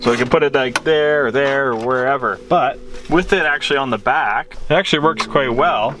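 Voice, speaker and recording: A man's voice: medium pitch, brisk, background noise. A man talking informally in a casual DIY vlog, thinking aloud as he works inside a vehicle. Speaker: vlogger. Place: vehicle cabin.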